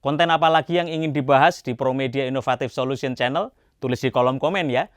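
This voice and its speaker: Male presenter, mid-pitched, for instructive scripted explainer narration addressed straight to viewers. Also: fast, clean tone. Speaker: presenter of an educational video channel.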